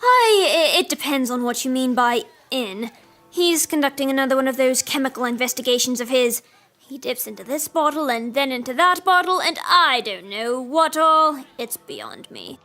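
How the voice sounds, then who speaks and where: An actress playing a chatty, fussing, good-hearted Victorian housekeeper in an audio drama, effusive and theatrical. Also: female voice, sits high, very animated, moderate pace, pushed and bright, faint music behind, heavily acted. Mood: flustered, bemused.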